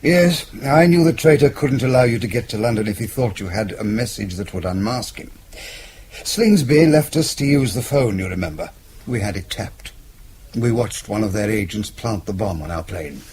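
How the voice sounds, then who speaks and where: An actor playing a suave, calculating British intelligence chief, speaking in an urbane, formal way in a radio drama. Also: male voice, lowish voice, expressive, darkish tone, slight background noise, lightly acted. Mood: cool, explanatory. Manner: urbane, measured, formal explaining.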